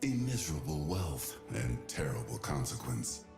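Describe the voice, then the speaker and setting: A man voicing an ancient, calm and wise jackal-headed warrior god in a video game, solemn, measured and weary. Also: extremely low pitch, reverberant, music in the background, heavily acted. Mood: stern, solemn.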